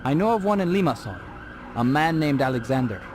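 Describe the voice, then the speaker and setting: A man's voice: mid-range voice, lively intonation, fairly quick, noisy background, lightly acted. A man voicing a respectful, well-informed assassin aide briefing his master in a video game. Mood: reflective, dutiful. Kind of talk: scripted game cutscene acting.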